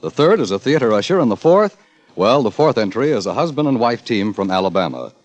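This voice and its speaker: Casting: male vintage radio announcer, animated mid-pitched delivery, dry studio sound, scripted promo read. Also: fairly quick, dry recording, lo-fi sound.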